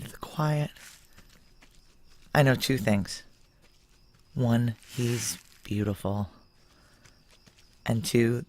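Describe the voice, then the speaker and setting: Low-voiced, curt female security chief. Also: darkish tone, exaggerated voice. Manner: blunt, clipped, authoritative. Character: tough, curt female security chief, hard-drinking and controlled. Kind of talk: noir science fiction audio drama acting.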